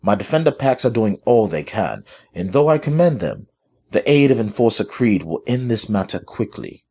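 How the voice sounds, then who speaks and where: A man voicing a polite, authoritative British mistress in a formal, measured manner for a story. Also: fairly low pitch, sing-song, darkish tone, voice pushed up. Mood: firm, polite.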